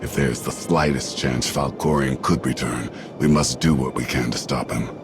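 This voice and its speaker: Solemn, extremely deep warrior. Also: male voice, fairly even intonation, moderate pace, music in the background. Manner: formal, solemn. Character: solemn, very deep-voiced repentant male warrior. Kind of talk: video game cutscene acting.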